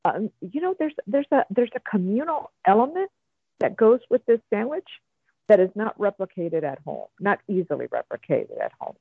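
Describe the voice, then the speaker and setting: A man's voice: high-pitched, sing-song, moderate pace, creaky, husky, no room sound. A man from Cuba, a guest in a radio interview, talking in a chatty, rambling way with many fillers. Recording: tinny phone line.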